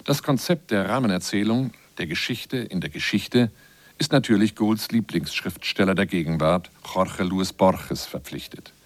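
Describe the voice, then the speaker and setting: Man, scripted documentary narration, lowish pitch, dry studio sound. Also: no room sound. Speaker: radio feature narrator.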